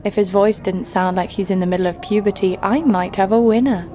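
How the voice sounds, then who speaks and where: A woman's voice: medium pitch, fairly even intonation, a little fast, mellow, natural voice. A woman playing a brash, sardonic young adventurer, blunt and sarcastic, narrating her exploits in an audio drama. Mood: wry storytelling.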